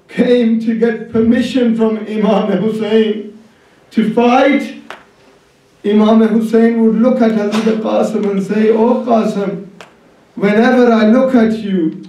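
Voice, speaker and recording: A man preaching a mournful, impassioned Shia lament sermon in a declamatory way, with drawn-out phrases and rhetorical questions. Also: very high-pitched, darkish tone. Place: large indoor congregation hall. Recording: echoey hall, distant microphone.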